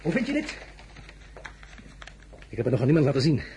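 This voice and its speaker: An actor playing an imperious, ambitious French ruler in a Dutch radio play, speaking with a Dutch accent, commanding and then softly condescending. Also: male voice, mid-range voice, huge pitch swings, fairly quick, breathy edge, full character voice. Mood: proud, excited. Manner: commanding, shifting to soft intimate condescension.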